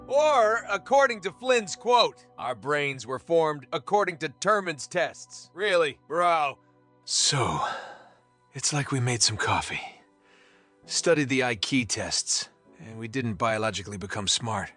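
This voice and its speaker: A man's voice: fairly high pitch, expressive, unhurried, a bit bright, soft background music. A man narrating an explainer video as a comic voice-over, playful and jokey, talking straight to the viewer.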